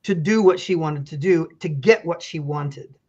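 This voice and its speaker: A man's voice: a little high, normal speed, darkish tone, husky, lo-fi sound. A middle-aged man, a pastor and county official, speaking earnestly as an interview guest and telling stories with many restarts. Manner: earnest, storytelling, frequent restarts.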